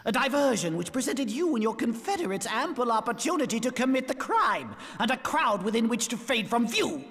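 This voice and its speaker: An actor playing a haughty, scheming lord in a fantasy video game, with pompous, sneering, archaic formal delivery. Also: male voice, very high voice, very animated, brisk, fairly bright tone, exaggerated voice. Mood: smug accusation. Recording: long hall reverb.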